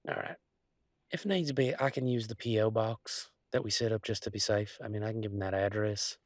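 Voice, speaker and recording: A man's voice: fairly low pitch, a little fast, dry recording, clean studio sound, close to an everyday voice. A man playing an affectionate, easygoing werewolf boyfriend in an intimate roleplay audio, with a folksy, rambling, teasing manner. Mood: reflective, reassuring. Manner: folksy, rambling, playful drawl with colloquial turns. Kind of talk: intimate one-sided roleplay audio, conversational acting.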